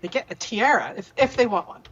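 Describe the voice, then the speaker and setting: A woman's voice: fairly high pitch, animated, brisk, muffled recording, slight background noise. A woman guest, a new game master, answering hesitantly with fillers. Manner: hesitant, giggly. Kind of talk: casual chat.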